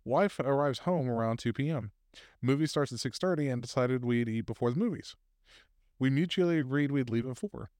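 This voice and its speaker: A man's voice: mid-range voice, brisk, darkish tone, no room sound, studio quality. A man co-hosting a casual podcast, reading posted stories aloud with fillers and laughs.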